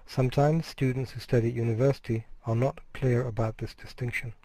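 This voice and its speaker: A man's voice: mid-range voice, fairly even intonation, a little fast, mellow, dry recording. A middle-aged man lecturing to university students, explaining step by step and sometimes restarting a word mid-phrase. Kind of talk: recorded course lecture.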